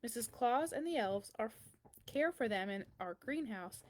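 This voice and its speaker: A woman's voice: on the high side, lively intonation, normal speed, natural voice. A woman voicing a kindly, very old man who answers a child's letters in a storybook, reassuring and explanatory. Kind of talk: children's storybook read-aloud.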